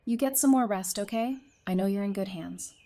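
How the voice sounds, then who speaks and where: A woman's voice: medium pitch, brisk, mellow, natural voice. A woman playing a tough, caring survivor with a familiar, teasing way of talking in a fan audio drama. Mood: caring, encouraging.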